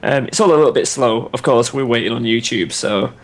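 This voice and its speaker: A man's voice: mid-range voice, brisk. A man, talking casually and easily as a podcast guest. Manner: relaxed, easygoing, hesitant fillers. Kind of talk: casual chat.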